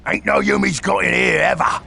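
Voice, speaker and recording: A man voicing an excitable, boastful Orc underling in a video game cutscene, with a gravelly voice and broad Cockney speech. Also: high-pitched, a little fast, a little hiss or hum, full character voice. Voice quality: gravelly, creaky. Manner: broad, uneducated, exclamatory brute speech. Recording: music behind the voice.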